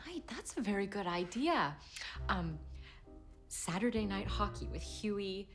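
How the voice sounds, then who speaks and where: A woman's voice: mid-range voice, expressive, a little slow, soft background music, close to an everyday voice. An actress playing a sweet, earnest young 1920s policewoman, polite and slightly hesitant in a period TV drama. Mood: warm, delighted.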